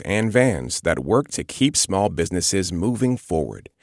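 A man's voice: sits low, no room sound, studio quality. A man with an American accent reading a scripted radio sponsorship message as an announcer.

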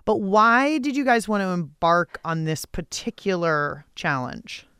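A woman's voice: on the low side, big pitch swings, unhurried, fairly bright tone, smooth, dry recording, clean studio sound. A woman hosting a radio show, interviewing guests in a casual, chatty way.

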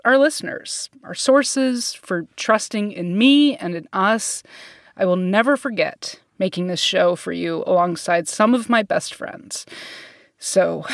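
A woman's voice: medium pitch, gravelly, no room sound, studio quality. A choked-up, tearful woman speaking casually and informally as a podcast host. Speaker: departing science podcast host. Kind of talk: emotional casual farewell chat.

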